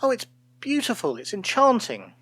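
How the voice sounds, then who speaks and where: A man's voice: extremely high pitch, wildly swooping pitch, normal speed, fairly bright tone, husky, dry recording, voice pushed up, exaggerated voice. A man voicing a flustered old woman, fretful and eager to please, in a storybook tale. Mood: gushing. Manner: fretful, then gushing. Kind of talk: storybook character voice.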